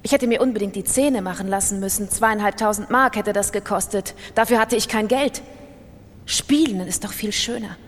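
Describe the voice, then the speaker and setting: A woman's voice: medium pitch, normal speed, long reverb tail, lightly acted. A woman playing an obsessive gambler in a confessional radio-drama monologue. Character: obsessive female gambler confessing her addiction.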